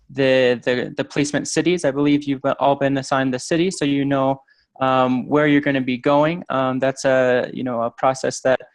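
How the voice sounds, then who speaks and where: Man, webinar presenter, monotone, halting, lots of fillers. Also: mid-range voice. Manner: hesitant, many ums and restarts. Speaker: program staff presenter.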